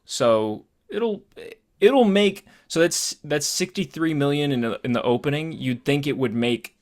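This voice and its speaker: A man co-hosting a podcast in casual chat, halting and repeating words as he thinks aloud. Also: fairly high pitch, lively intonation. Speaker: podcast co-host. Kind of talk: casual movie discussion.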